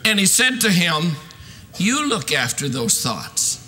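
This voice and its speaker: A man in his sixties preaching a fervent sermon in an emphatic, declamatory way with drawn-out stressed phrases. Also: high-pitched, big pitch swings, sharp tone. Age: 60s. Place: large church sanctuary, at a pulpit. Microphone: podium microphone. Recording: reverberant hall sound.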